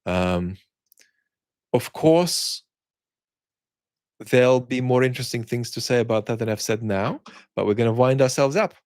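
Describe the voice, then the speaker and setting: A man hosting an online chat, talking reflectively and conversationally in articulate, opinionated phrases, often pausing and restarting words as he searches for the right one. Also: mid-range voice, expressive, darkish tone, dry recording, clean studio sound. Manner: halting, searching phrasing with repeated word starts. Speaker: host of a live chat for an online community.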